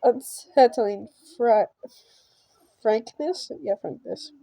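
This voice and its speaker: A woman hosting a game channel reads a visual novel aloud with casual commentary. Her voice is slightly high and mellow, and she goes slowly, repeating words as she stumbles, with a giggly manner. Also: gravelly, no echo, low-quality recording. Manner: halting reading, stumbling over and repeating words. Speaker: gaming channel host.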